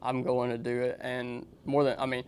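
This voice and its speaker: A man's voice: mid-range voice, completely flat delivery, moderate pace, noisy background. A young man with a Southern US accent, co-hosting a golf podcast, talking casually and rambling as he introduces a guest. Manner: rambling, restarts while introducing. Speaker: podcast co-host. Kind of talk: casual chat.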